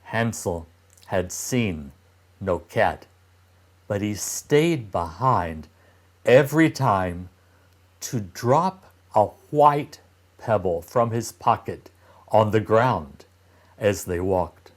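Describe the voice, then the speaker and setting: Male teacher reading a kids story, super slow and deliberate, really animated pitch. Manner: expressive, deliberate phrasing. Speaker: teacher reading aloud to young children. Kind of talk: storybook narration.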